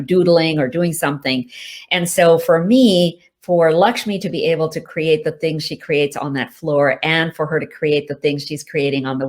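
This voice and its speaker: A woman with a notably low voice whose first language is Hindi, talking over a video-call microphone. She answers reader questions in a loose, chatty way, laughing lightly and leaning on fillers like "you know" and "uh". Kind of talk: casual virtual Q&A. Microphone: video call mic.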